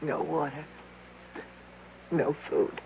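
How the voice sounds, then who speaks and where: A woman's voice: low-pitched, animated, slow pace, creaky, husky, exaggerated voice. An actress playing a frightened, weakening woman trapped in a cave, pleading insistently in 1970s radio drama style. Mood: weak, despairing. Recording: tinny, hissy 1970s radio broadcast.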